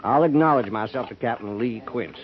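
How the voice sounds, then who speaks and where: A man's voice: medium pitch, brisk, close to an everyday voice. Actor as brooding, folksy American veteran cavalryman, dull sound. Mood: deferential, sly. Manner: folksy, rambling, hesitant. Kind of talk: 1950s American radio western acting. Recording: dull, noisy 1950s radio transcription.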